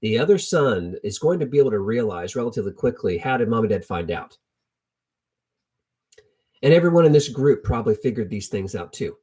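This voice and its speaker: A middle-aged man with a husky, slightly rough voice at a medium pitch preaches informally. He tells Bible stories in plain, colloquial language and repeats key phrases to drive them home. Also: very animated, a little fast, dark tone. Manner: conversational, colloquial, with emphatic repetition. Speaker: preacher teaching a Bible lesson. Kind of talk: informal sermon, storytelling Bible teaching.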